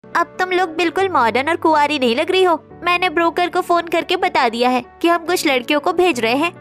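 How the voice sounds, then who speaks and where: A woman playing an indignant young modern flat-hunter in a story drama, with a protesting, plaintive manner. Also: very high-pitched, fairly quick, faint music behind. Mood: helpful. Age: young adult. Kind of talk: acted Hindi story dialogue.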